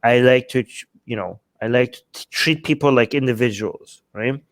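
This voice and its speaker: A man's voice: medium pitch, normal speed, dry recording. A man co-hosting a live discussion, giving opinions emphatically, repeating himself and checking for agreement. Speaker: talk show co-host.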